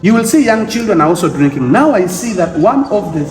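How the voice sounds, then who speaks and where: A man's voice: sits high, big pitch swings, fairly quick, noisy background. A man with a Ugandan accent hosting a conversational devotional interview, often repeating and rephrasing his points as he talks. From Eastern Uganda. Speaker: devotional show host. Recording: echoey room.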